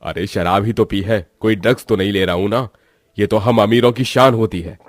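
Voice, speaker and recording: A man's voice: fairly low pitch, normal speed, no echo, clean studio sound, close to an everyday voice. A man voicing an arrogant, dismissive rich father who talks scornfully and bossily in a cartoon story. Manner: scornful, bossy.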